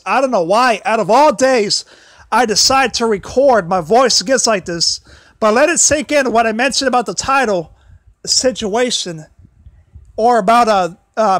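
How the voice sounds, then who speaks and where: Man, very high voice, bright and tense tone, tired strained throat, stumbling repeats, built-in mic, dry sound. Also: dry recording. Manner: apologetic, stumbles and repeats words, pushes voice louder. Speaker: livestream host. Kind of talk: casual wrestling commentary livestream. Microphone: built-in device mic, no external microphone.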